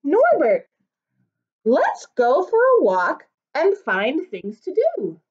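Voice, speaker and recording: A woman voicing a gentle, encouraging mother from a picture book, in a bright coaxing way. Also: high voice, wildly swooping pitch, slow, voice pushed up, full character voice. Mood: cheerful. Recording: dull lo-fi recording.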